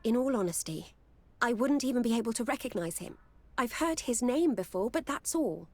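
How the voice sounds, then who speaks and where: A woman's voice: a little high, animated, normal speed, a little mellow, no echo. A woman playing a wary, world-wise courtesan in a video game. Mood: guarded.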